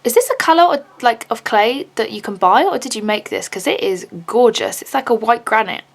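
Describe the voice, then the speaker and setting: A woman unboxing handmade charms in a casual vlog, gushing and excitable, with a slightly raspy voice. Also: a little high, a little fast. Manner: gushing, excitable, many "oh my God" and gasps.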